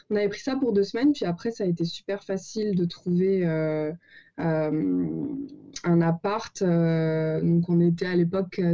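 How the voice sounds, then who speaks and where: A woman, telling her story as a guest, relaxed, with many fillers and pauses to search for words. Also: mid-range voice, dull audio. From Paris, France. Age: 30s. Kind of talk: casual interview storytelling. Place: home over a call.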